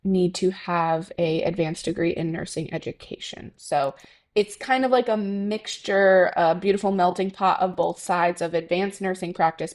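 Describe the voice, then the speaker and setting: Chatty nurse lady from connecticut, lowish voice, lots of ums and likes. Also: a little mellow. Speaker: NICU nurse, podcast guest. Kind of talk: conversational interview.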